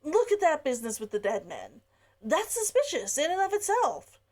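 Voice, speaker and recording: A woman reading a gentle, persuasive young man. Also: very high voice, moderate pace, pitched above the performer's own voice, heavily acted. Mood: insistent. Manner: calm, reasoning delivery.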